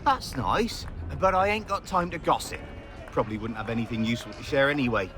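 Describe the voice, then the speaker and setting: An actor playing a brusque, dismissive sailor in a video game, with a curt, offhand, colloquial way of talking. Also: male voice, medium pitch, expressive, a little fast, a bit bright, natural voice. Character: brusque, dismissive working sailor with no time for gossip.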